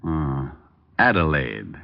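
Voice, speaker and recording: An American actor playing a wry, unflappable private detective in an old-time radio drama, with a dry, wisecracking manner. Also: male voice, rumbling low, very animated, slow pace, creaky, lo-fi sound, exaggerated voice. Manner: dry, wisecracking, laid-back.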